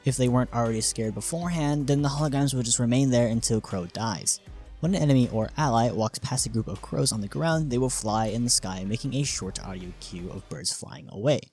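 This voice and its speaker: A man's voice: mid-range voice, a little fast, darkish tone. A man hosting a video, narrating a scripted explainer on game design with informal asides. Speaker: video creator and host. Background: music behind the voice.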